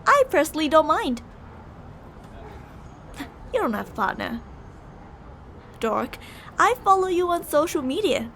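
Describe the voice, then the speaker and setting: A woman's voice: high voice, big pitch swings, a little slow, gravelly, pauses often, a bit bright, a little hiss or hum, full character voice. A woman playing a possessive, delusional young yandere bully in an ASMR roleplay, teasing, insistent and emotionally volatile. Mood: sweetly pushy.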